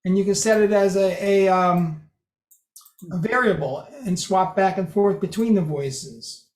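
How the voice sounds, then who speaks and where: A presenter walks a group through a code demo, talking loosely and correcting themselves as they go. The voice sits at a middling pitch. A roomy echo from the meeting room hangs on every word. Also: a little slow, darkish tone. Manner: explanatory, loose, with restarts and self-corrections.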